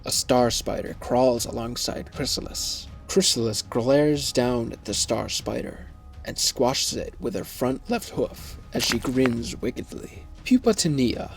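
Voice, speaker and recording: A man's voice: medium pitch, expressive, measured pace, vocal fry, faint music behind, low-quality recording. A man reading scripted scene descriptions as the narrator of an audio drama.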